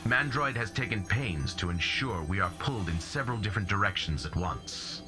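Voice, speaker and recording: A man plays a giant heroic robot leader in a game cutscene. The voice is very deep, with big swings in pitch, grave and formally measured. Clear music plays behind it. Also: lively intonation, close to an everyday voice. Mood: solemn. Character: noble, solemn giant male robot commander. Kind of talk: scripted video game cutscene acting.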